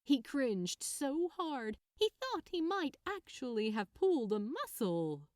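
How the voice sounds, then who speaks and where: A woman reading a story aloud as narrator, in an expressive scripted style. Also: high voice, slow, no echo, studio quality. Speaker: narrator, fan fiction reader.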